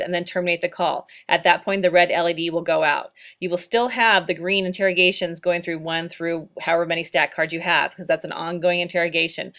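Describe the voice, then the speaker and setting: A woman's voice: fairly low pitch, fairly bright tone. A woman narrating instructional training slides in a plain, practical, step-by-step way. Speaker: training presenter.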